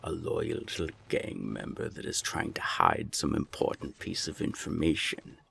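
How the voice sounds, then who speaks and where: A man playing a menacing, sly naga gang boss in an audio roleplay, with a gravelly, husky voice and a taunting, contemptuous manner. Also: very deep voice, fairly bright tone, heavily acted. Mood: quietly menacing. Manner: slow, taunting, deliberate.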